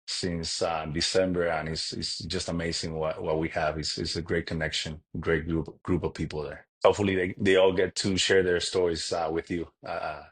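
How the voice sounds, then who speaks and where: Male guest from Cuba, very low husky voice, earnest and halting. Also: level tone. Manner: earnest, halting with frequent restarts. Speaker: podcast guest. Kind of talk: casual interview talk.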